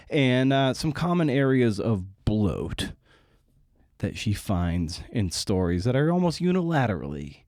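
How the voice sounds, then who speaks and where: Low-voiced, irreverent male podcast host. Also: darkish tone, no room sound, studio quality. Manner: irreverent, slangy, casually profane. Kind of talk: casual, conversational podcast narration and interviewing.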